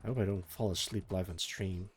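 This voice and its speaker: A sleepy man chatting casually and mumbling to himself on a live stream while he works, with energetic music faintly behind him. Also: low voice, fairly quick. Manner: soft, meandering, mumbles to himself, trails off. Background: faint energetic soundtrack music.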